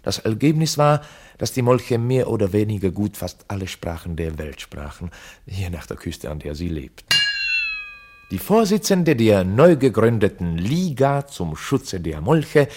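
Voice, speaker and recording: An actor playing a chronicler, narrating a mock history in a formal, matter-of-fact way for a radio play. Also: male voice, on the low side, very animated, a little slow, mellow.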